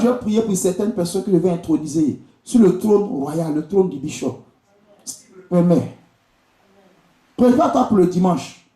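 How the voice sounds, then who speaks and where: High-pitched Ivorian preacher in an echoey room. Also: male voice, dark tone. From Côte d'Ivoire. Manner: exhortative, repetitive, addressing the congregation directly. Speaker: visiting preacher and prophet. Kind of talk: charismatic church sermon. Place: indoor church during a live service. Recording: echoey church hall sound.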